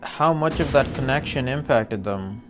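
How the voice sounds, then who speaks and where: A man's voice: medium pitch, lively intonation, normal speed. A man hosting a reaction video, giving casual, slangy commentary in short murmured bursts. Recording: loud cinematic music behind.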